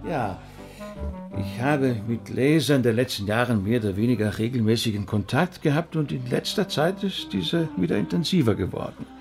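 An actor playing an earnest, tactful man who pleads politely and gently in a radio drama. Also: medium pitch, lively intonation, normal speed, creaky, over music. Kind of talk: German radio drama acting.

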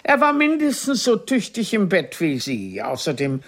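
A woman's voice: on the low side, wildly swooping pitch, normal speed, exaggerated voice. An actress playing a proud, scornful stage diva in a radio play, cutting and theatrical in how she contradicts her former lover. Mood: cutting, taunting.

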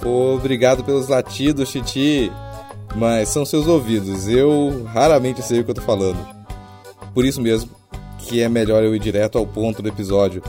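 A man's voice: medium pitch, fairly even intonation, normal speed, mellow, faint music behind. A Brazilian man talking informally and wryly as a podcast host, in a casual, lightly comic style. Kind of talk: casual podcast hosting with scripted comic skit.

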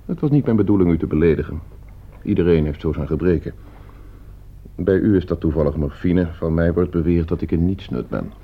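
An actor playing a calm, calculating schoolteacher in a radio play, speaking with polite, insinuating control. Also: male voice, deep voice, a little fast, husky, full character voice. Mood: smooth, insinuating. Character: calm, calculating male schoolteacher, polite but menacing manipulator. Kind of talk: Dutch radio play acting. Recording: muffled old radio play recording.

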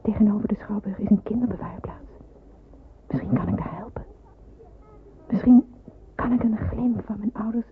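A thin, phone-like old radio play with faint noise carries an actress as an anxious young woman. She is hesitant and grieving, speaking in a hushed, near-whispered tone at a middling pitch. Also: moderate pace, long pauses, natural voice. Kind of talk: radio drama acting with hushed inner monologue.

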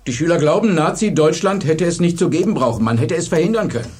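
An actor in a radio play as an earnest, deliberate high school history teacher. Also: male voice, animated, darkish tone, lightly acted. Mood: absorbed, pensive. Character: driven, thoughtful high school history teacher, married man. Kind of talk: German radio play acting.

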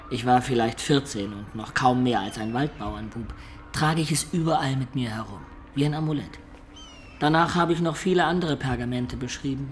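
A man's voice: mid-range voice, slight background noise, close to an everyday voice. An actor playing a roguish man of peasant birth, narrating his life colloquially and reflectively in a radio play.

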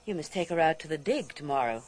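An actress playing an elegant, brittle archaeologist's wife in a radio drama, gracious and poised but uneasy. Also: female voice, low voice, lively intonation, quick pace, a little mellow.

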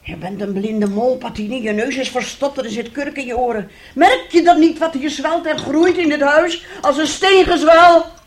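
A woman's voice: high voice, moderate pace, few pauses, a little hiss or hum, close to an everyday voice. An actress in a radio drama playing a bitter, sarcastic middle-aged wife and mother who talks reproachfully and theatrically. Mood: ominous, exasperated. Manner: reproachful, sarcastic, dramatic, swinging between hushed and loud. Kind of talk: radio drama acting in Dutch.

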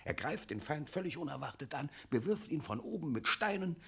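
Gravelly, complacent townsman father. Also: on the high side, sing-song, fairly quick, fairly bright tone, heavily acted. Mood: matter-of-fact admiring. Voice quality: gravelly, husky. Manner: placid, explanatory, self-satisfied. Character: resigned, complacent townsman father defending the town's tyrant. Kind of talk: theatrical radio play acting.

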